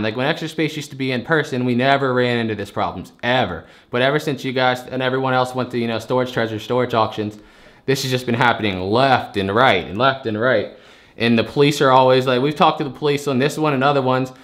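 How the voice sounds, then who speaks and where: A man's voice: mid-range voice, fairly even intonation, fast, a bit bright. A man, a storage unit buyer arguing his case, frustrated and rambling, repeating himself as he pushes his point. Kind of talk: heated phone argument.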